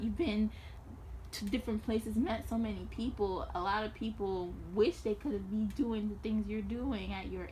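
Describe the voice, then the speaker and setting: A woman's voice: mid-range voice, a little mellow, low-quality recording. A young woman hosting a casual interview, easygoing and chatty, with frequent ums. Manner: easygoing, laughs often, says "um" a lot. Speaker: host. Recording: faint background noise.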